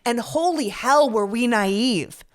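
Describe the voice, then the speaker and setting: A woman's voice: a little high, animated, takes it slowly. A middle-aged woman from Canada hosting a podcast, delivering an earnest, candid political monologue with casual swearing and rhetorical questions. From Canada, Toronto. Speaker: podcast host.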